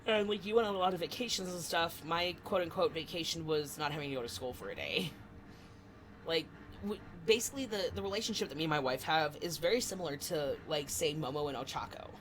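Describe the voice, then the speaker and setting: A woman in her twenties co-hosting a podcast, chatting casually with animated, swearing asides. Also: deep voice, quick pace, low-quality recording. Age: 20s. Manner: animated, swearing, self-deprecating. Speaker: podcast co-host. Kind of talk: casual chat.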